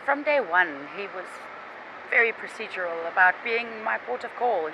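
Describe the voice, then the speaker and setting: A woman speaking fast and emphatically in an interview recorded outdoors in a town. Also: mid-range voice, lively intonation, highs cut off, noisy background.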